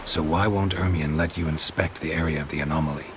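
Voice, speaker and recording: Very deep, terse monster hunter. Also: male voice, quick pace, background noise. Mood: questioning. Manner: terse, clipped, deadpan. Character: gruff, weary monster hunter, terse and dry. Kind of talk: video game cutscene acting. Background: music.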